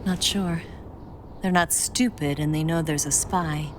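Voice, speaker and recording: An actress playing a seductive, coolly guarded spy in a video game cutscene, speaking in a soft, intimate, teasing way. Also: female voice, deep voice, steady tone, a little slow, background noise. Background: game music.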